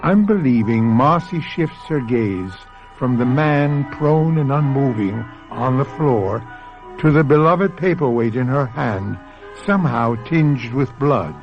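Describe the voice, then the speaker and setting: A dull old radio broadcast with faint music carries a man's dramatic narration. He phrases deliberately and suspensefully, with a very lively rise and fall. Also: medium pitch. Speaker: host and narrator.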